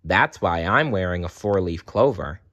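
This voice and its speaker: A man's voice: deep voice, expressive, normal speed, fairly bright tone, highs cut off. A man voicing cheerful, caring cuddly teddy bears from a children's storybook in a friendly, encouraging manner.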